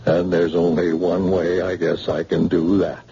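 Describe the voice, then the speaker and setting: A cornered hospital witness. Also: male voice, sits low, little pitch movement, a little slow, vocal fry, loud music behind the voice. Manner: tense, defensive, then defiant. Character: frightened young husband, a hospital witness. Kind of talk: 1940s radio acting. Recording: dull old radio broadcast.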